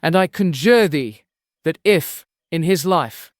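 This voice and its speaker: A man's voice: a little high, expressive, slow pace, no echo, studio quality. A man playing an earnest young prince seeking his lost father, in a formal, solemn audiobook drama of epic verse. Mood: urgent pleading. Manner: formal, solemn, pleading declamation.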